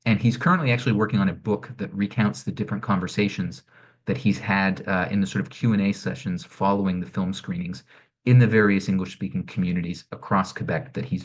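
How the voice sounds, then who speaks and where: A man presenting arts programs in an online info session, measured and explanatory, with frequent fillers, speaking into a video-call mic. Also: low-pitched. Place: home or office, online session. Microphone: computer mic on a video call.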